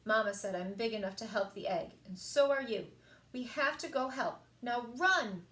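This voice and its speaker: A woman voicing a plucky, excitable young female penguin in a children's storybook, with an emphatic, exclaiming delivery. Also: a little high, normal speed. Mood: urgent, determined. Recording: echoey room.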